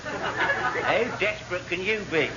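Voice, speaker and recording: A man's voice: high-pitched, animated, slow pace, highs cut off. An actor playing a plump, reluctant English sailor sidekick in a radio comedy, plaintive and repetitive in his grumbling. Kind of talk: classic British radio sitcom acting. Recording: noisy, band-limited vintage radio recording.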